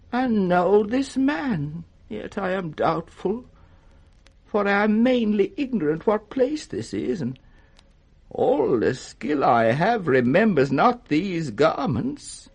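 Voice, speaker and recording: An actor with a British accent playing a frail, confused old king in a 1960s radio Shakespeare, his voice cracked, halting and theatrical. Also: sits high, a little mellow, heavily acted. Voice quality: raspy, cracked.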